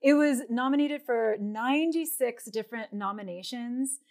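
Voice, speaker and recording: A woman's voice: fairly high pitch, moderate pace, darkish tone. A woman speaking as an interviewer in a casual, conversational style.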